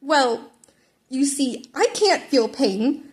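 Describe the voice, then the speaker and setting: A woman's voice: high voice, expressive, takes it slowly, voice pushed up. A woman voicing an awkward, blithely reckless teenage schoolboy who is nonchalant and eager, in a story reading. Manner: nonchalant, eager, matter-of-fact about danger. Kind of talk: character dialogue in a fan fiction reading.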